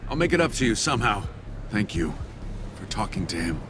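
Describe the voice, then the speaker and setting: A man playing a shaken, contrite traitor in a video game, speaking in a halting, apologetic way. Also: on the low side, big pitch swings, noisy background. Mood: remorseful. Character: contrite, shaken male traitor given second chance.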